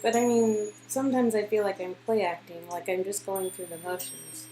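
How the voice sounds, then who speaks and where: A young woman, played by a woman, in a romance game, teasing and intimate. Her voice sits at a middle pitch, with music running behind it. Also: fairly even intonation, normal speed, warm tone, natural voice, poor audio. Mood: wistful. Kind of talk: amateur voice acting of a romance game.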